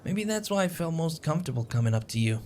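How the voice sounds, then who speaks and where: A man's voice: fairly high pitch, very animated, fast, darkish tone, slight background noise. A man acting a shy, anxious, sweet young stranger in an audio roleplay, rambling with hesitant stammers and self-deprecating apologies. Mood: shy, chatty. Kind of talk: audio roleplay, intimate one-sided conversation. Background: coffee shop ambience.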